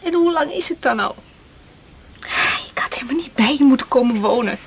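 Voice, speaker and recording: A woman's voice: high voice, animated, a little fast, vocal fry. An actress in a radio drama playing a young woman doctor, hurt and bluntly confrontational, over a noisy recording. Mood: suspicious, hurt. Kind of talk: naturalistic 1980s radio drama acting.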